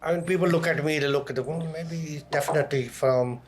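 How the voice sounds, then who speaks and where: A man's voice: on the high side, fairly even intonation, quick pace, over music. A man from Leeds with a Yorkshire accent, talking casually as an interview guest. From Leeds, Yorkshire, England. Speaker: podcast guest, online content creator. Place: in a pub over a meal.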